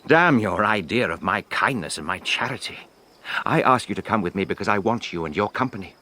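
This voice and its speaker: An actor playing a brooding, brusque middle-aged widowed gentleman in a radio drama, with a clipped, commanding, well-bred manner. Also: lowish voice, expressive, a little fast. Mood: bitter, angry.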